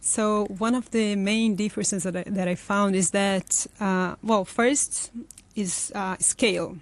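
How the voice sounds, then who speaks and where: A woman's voice: medium pitch, steady tone, slow. A young woman from Brazil, a guest in an interview, explaining her work thoughtfully with many fillers and restarts. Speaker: forest restoration guest. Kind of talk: conversational interview answers.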